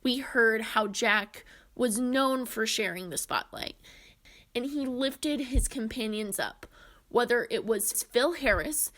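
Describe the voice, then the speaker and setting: A woman's voice: on the high side, slow, fairly bright tone. A woman in her twenties presenting a scripted video essay as its narrator. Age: 20s.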